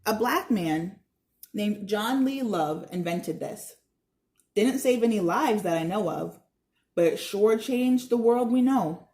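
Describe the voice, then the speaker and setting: A woman's voice: medium pitch, animated, slow, dark tone. A kindly, wise handyman from a storybook, read by a woman, passes on history. He explains patiently and earnestly, encouraging the listener to look closer.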